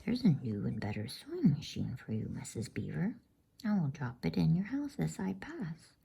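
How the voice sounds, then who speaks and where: Woman as glad old gift-giver, huge, solemn, measured, breathy low whisper, bedtime storybook. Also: big pitch swings, warm tone, full character voice.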